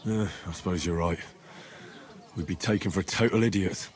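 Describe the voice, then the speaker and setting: An actor playing an earnest, level-headed and loyal young squire in a medieval video game. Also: male voice, deep voice, moderate pace, darkish tone, lightly acted. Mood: encouraging. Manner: earnest, reassuring. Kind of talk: video game cutscene acting.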